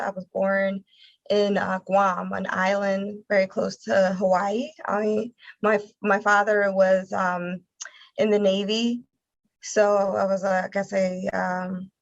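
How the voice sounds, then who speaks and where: American woman with narrow, even intonation. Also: mid-range voice, unhurried. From Philadelphia, United States. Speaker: interview guest, nonprofit communications director. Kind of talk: conversational interview answers.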